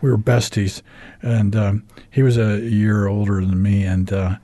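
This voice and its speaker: A man in his fifties with an American accent, telling his story with plenty of ums and uhs and a dry, wry humor. His voice is mellow and a little dark. It sounds close and dry on a studio microphone. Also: lowish voice, level tone, a little slow, no echo. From United States. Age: 50s. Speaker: radio show guest. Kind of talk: candid storytelling interview.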